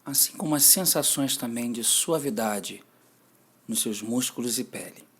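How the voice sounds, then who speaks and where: A man with a Brazilian Portuguese accent guiding a mindfulness meditation, giving gentle, deliberate instructions with long pauses. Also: medium pitch, husky.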